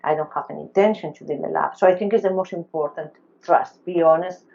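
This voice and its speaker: A woman with a deep voice speaks as a guest advising younger researchers. Her delivery is emphatic and halting, with non-native phrasing. The audio is a bit dull and lo-fi, like an online call. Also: vocal fry, a little mellow. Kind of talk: conversational advice in a panel interview.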